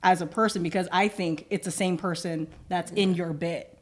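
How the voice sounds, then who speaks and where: Young woman with a deeper voice, animated. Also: normal speed. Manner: animated, acts out quoted remarks with swearing. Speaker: podcast guest. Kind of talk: casual podcast chat.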